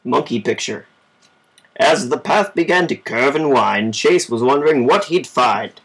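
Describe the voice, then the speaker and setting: Slow, gravelly man reading a story. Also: mid-range voice, expressive, fairly bright tone, poor audio. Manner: informal, measured amateur reading. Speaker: storybook reader. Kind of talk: reading a children's story aloud.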